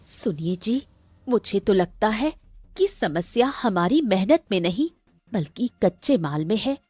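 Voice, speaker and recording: A woman voicing a gentle, observant village wife in a cartoon. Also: medium pitch, lively intonation, a little slow, no echo. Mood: calm, reassuring.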